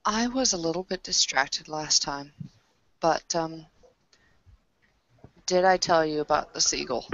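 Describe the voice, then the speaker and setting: A woman's voice: deep voice, unhurried, pauses often, a bit bright, dull audio. A woman improvising tabletop roleplay conversation in a hesitant, questioning manner.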